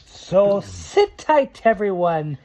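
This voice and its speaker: A man reading rhyming verse aloud to children like a father at storytime, theatrically, with dramatic pauses and emphasis. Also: high voice, huge pitch swings, darkish tone.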